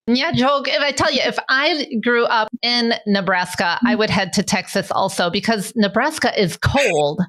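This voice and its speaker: An eager woman from Michigan hosts an interview show, her voice bright and forward. She talks with open enthusiasm and breaks into laughs between questions. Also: fairly high pitch, moderate pace, hardly any pauses. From Michigan, United States. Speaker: podcast host. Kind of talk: conversational interview. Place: home in South Sudan.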